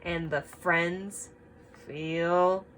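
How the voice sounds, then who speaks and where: A woman reading a picture book aloud to children, expressive and emphatic, pausing to ask simple guiding questions. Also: on the low side, slight background noise.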